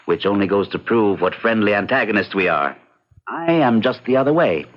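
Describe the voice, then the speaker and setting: Man acting a detached pragmatist, measured, expressive intonation, vintage radio drama, dull lo-fi sound. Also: fairly low pitch, close to an everyday voice. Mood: dryly amused. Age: 30s. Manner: measured, dryly amused, articulate. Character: detached, pragmatic thirty-year-old bachelor, cool and articulate.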